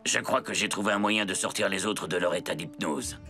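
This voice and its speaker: An actor playing a gentle, loyal, reassuring robot rescuer in a French children's cartoon dub. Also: male voice, low voice, a little fast. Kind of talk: animated children's series dub. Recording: loud cartoon music behind.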